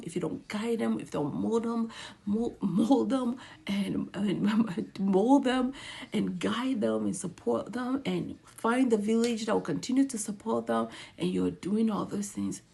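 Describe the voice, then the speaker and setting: A woman with a gravelly, fry-tinged voice at a middle pitch. She speaks as a provider giving casual, earnest advice. She repeats her points and slips into half-whispered asides. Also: darkish tone. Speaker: mental health provider.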